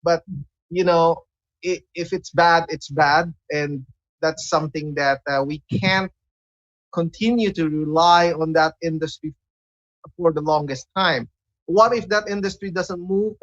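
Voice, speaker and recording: A man hosting a conversational radio interview, speaking haltingly and repeating words as he looks for phrasing. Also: on the high side, a bit bright. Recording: dull, lo-fi audio.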